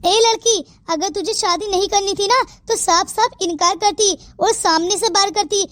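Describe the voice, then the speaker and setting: A woman's voice: extremely high pitch, normal speed, a bit bright, no echo. A woman voicing a stern, indignant mother in a theatrical, scolding style for a Hindi family cartoon. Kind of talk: Hindi moral-story cartoon dialogue.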